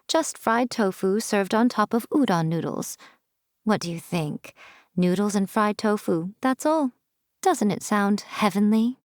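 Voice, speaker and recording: A woman voicing a sly, elegant fox-spirit shrine priestess in a video game, with coy, teasing, haughty and measured delivery. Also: medium pitch, a little mellow, dry recording, studio quality, close to an everyday voice. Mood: playful. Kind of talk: game character voice lines, theatrical monologue.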